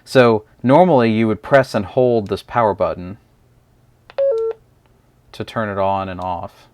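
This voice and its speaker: A man's voice: on the low side, a little slow, very noisy. A man casually explaining a product in an unscripted, hesitant review, talking through it step by step as the host.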